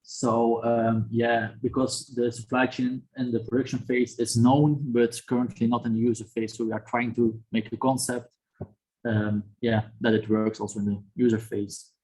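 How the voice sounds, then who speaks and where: A man's voice: medium pitch, normal speed, slightly rough, poor audio. A man, a master's student, introducing his team's project on a video call with frequent fillers. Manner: steady, filler-heavy.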